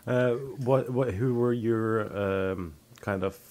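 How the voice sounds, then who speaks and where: A man's voice: on the low side, takes it slowly, a little mellow. A man hosting a casual podcast, asking hesitant questions and laughing often. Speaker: podcast host.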